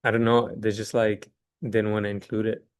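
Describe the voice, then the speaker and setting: A man's voice: fairly low pitch, flat delivery, moderate pace, clear, resonant tone. A man hosting a casual video call. He talks in a loose, filler-heavy way. He mostly offers brief agreements and short opinions. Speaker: host.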